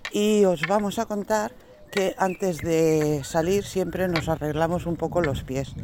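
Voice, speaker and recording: A woman casually narrating a walking-trail travel vlog outdoors, chatty and relaxed, with hesitant fillers. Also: lowish voice, unhurried, background noise. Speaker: vlogger walking the pilgrimage trail with her cousin. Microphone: phone camera held while walking.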